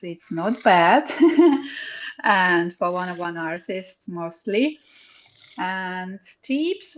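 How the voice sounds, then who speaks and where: Woman, Ukrainian accent, casual cheerful guest chat, slow pace, dull lo-fi online audio. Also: medium pitch. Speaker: guest, digital artist. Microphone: live online audio room.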